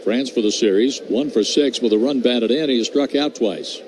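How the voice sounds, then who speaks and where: A man doing measured, descriptive live play-by-play of a baseball game as a radio announcer. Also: medium pitch. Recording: clear background noise at ballpark.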